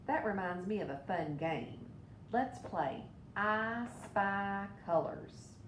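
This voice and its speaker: A playful young leaf in a children's storybook, read by a woman. It sounds eager, fair-minded and childlike. The voice echoes in the room over a clear rumble. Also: expressive, takes it slowly.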